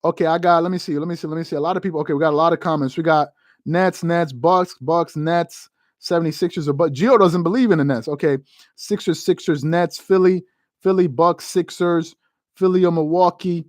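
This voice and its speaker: A man's voice: fairly high pitch, a little fast, dry recording, clean studio sound. A man from the United States hosting a casual sports talk stream, reading viewer comments and giving emphatic opinions, often repeating phrases. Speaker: sports show host.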